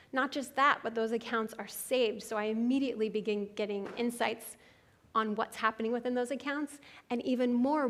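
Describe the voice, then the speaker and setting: Bright-voiced woman presenting a product. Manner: confident, promotional pitch. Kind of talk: keynote product announcement. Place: conference stage.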